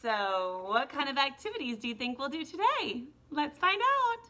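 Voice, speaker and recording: Bright-voiced woman teaching children art. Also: sits high, very animated, moderate pace. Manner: encouraging, instructional, speaking directly to young viewers. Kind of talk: step-by-step drawing lesson for children.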